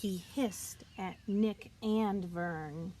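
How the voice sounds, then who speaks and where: A woman reading a children's picture book aloud, expressively and deliberately, as a teacher would for young listeners. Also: mid-range voice, dark tone, a little hiss or hum. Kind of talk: children's storybook read-aloud.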